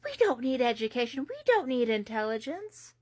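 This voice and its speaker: A woman's voice: a little high, sing-song, moderate pace, darkish tone, no room sound, studio quality. A woman giving sarcastic, incredulous political commentary from the United States. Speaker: commentary host.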